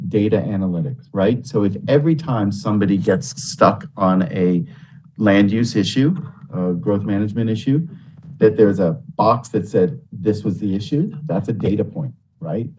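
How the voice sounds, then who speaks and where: A man's voice: lowish voice, moderate pace, a little mellow, slight background noise, low-quality recording. A man at a public meeting laying out his points in order and checking in with "right?". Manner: organized, ticking off points with "right?". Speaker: meeting participant. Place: meeting room.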